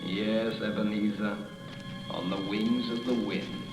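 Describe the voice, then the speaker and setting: A man's voice: lowish voice, steady tone, slow. An actor playing the mournful, reproachful ghost of a dead businessman, solemn and declamatory, in a radio drama. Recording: echoey reverberant hall effect, faint music.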